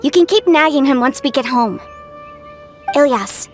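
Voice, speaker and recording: An actress playing a lively, caring big sister. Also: female voice, high voice, soft background music, lightly acted. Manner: brisk and caring. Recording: game soundtrack behind.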